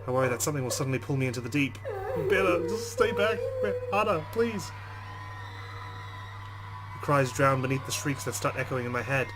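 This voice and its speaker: A man's voice: mid-range voice, expressive, a little fast, over loud music, poor audio. Man dramatically reading a game's text. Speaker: let's play host. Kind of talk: reading a visual novel aloud with commentary.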